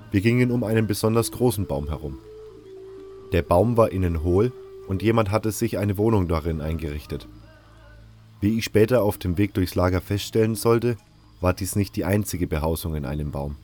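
A man with a low voice narrates a fantasy audio drama in the first person. It is scripted storytelling, with faint music behind him. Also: dark tone.

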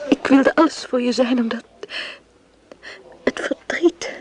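A woman's voice: a little high, a little slow, natural voice. An actress playing a tender, anguished married woman in a radio drama. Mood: tender, grieving. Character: tender, anguished married woman devoted to her wounded lover. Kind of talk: Dutch radio drama acting.

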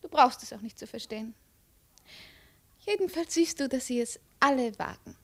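A high, imperious voice for a proud and mocking princess. She speaks with deliberate, cold authority in the theatrical manner of 1950s radio drama. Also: lively intonation, fairly bright tone, heavily acted. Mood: haughty, mocking. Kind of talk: 1950s German radio play acting.

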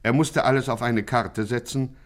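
An actor playing a grave chronicler, narrating a 1950s satirical crime radio play in mock-serious style. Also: male voice, mid-range voice, fairly even intonation, normal speed, natural voice. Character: grave male chronicler of gangland events.

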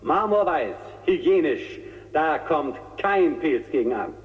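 A man's voice: fairly high pitch, lively intonation, slow pace, big-room sound. A man playing a gushing television entertainer in a radio drama, savoring and repeating words like a showman, in a tinny recording. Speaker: TV presenter in a drama.

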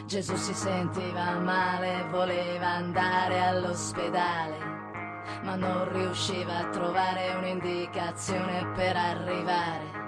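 A woman singing a narrative ballad with drawn-out, repeated phrases. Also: fairly low pitch, completely flat delivery. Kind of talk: slow sung narrative ballad with guitar. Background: guitar music.

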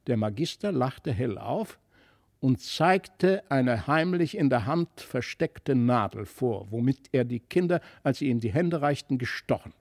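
A man narrating a scripted audiobook as an expressive, dramatic storyteller. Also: medium pitch, a little slow, dry recording, studio quality.

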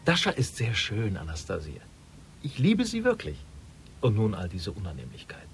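A man's voice: lowish voice, huge pitch swings, normal speed. An actor playing an anxious, lovesick rich businessman in a radio crime drama, confiding earnestly and with agitation. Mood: lovesick, troubled. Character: anxious, lovesick wealthy businessman confiding in his half-sister.

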